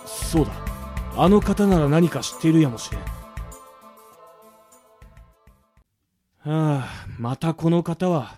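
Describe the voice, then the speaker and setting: A man's voice: a little high, animated, normal speed, dark tone, close to an everyday voice. Man acting a stern, formal butler over music. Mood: stern, worried. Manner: stiff, archaic, very polite speech. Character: formal, stern, worried elderly male butler. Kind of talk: radio drama acting. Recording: music behind the voice. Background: background music.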